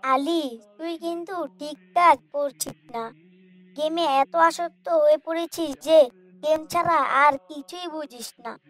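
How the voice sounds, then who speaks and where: Woman as a high-voiced, earnest pious boy. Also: fairly bright tone, dry recording. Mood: reproachful. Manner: earnest, respectful, measured. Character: polite, pious young boy, gently admonishing his brother. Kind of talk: Islamic moral cartoon dialogue.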